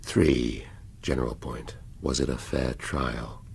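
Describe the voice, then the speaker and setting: An actor with an English accent playing a brooding middle-aged police detective in a radio drama, musing in clipped half-sentences. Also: male voice, extremely low pitch, steady tone, takes it slowly, gravelly, a little hiss or hum, heavily acted. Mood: musing, muttering.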